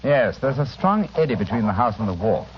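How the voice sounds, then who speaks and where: An actor playing a shrewd, courteous gentleman detective with a British accent, precise and analytical, in a vintage radio drama. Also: mid-range voice, very animated, brisk, close to an everyday voice. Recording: noisy, muffled old radio recording.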